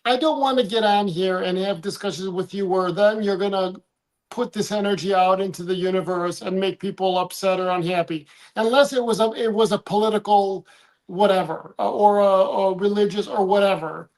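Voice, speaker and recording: A man's voice: high voice, steady tone, moderate pace, low-quality recording. A man from the United States co-hosting a casual livestream, rambling, trailing off and restarting his sentences. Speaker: livestream co-host.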